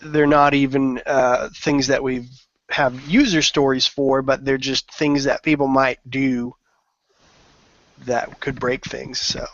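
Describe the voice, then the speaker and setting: A dry, close, low-fidelity recording carries a man's mid-pitched voice. He offers feedback in a casual meeting discussion. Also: little pitch movement, slow pace, fairly bright tone, dry recording, lo-fi sound. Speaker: meeting participant giving feedback.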